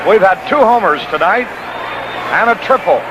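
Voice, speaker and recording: Very high-pitched male baseball commentator, noisy. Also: expressive, moderate pace, lo-fi sound. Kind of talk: 1960s radio sports commentary. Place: stadium broadcast booth. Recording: noisy old 1960s radio broadcast.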